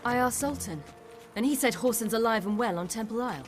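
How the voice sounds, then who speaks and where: A woman's voice: on the high side, fast, faint music behind, close to an everyday voice. An actress playing a resolute young woman warrior bent on payback in a fantasy video game.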